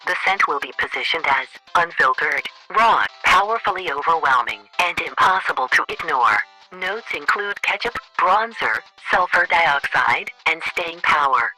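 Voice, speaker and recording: A man voicing an officious female government official leaving a formal, deadpan voicemail in a radio comedy sketch. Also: on the high side, moderate pace, soft background music, low-quality recording.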